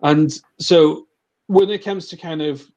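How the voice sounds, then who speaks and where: A man from Wales with a faint, mostly smoothed-over Welsh accent, talking bluntly and casually as a guest on a video call, with frequent restarts. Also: on the high side, steady tone, slow pace, a little mellow. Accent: soft Welsh, mostly neutralised toward English. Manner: blunt and chatty, mild swearing, frequent restarts. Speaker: guest, recruitment agency owner.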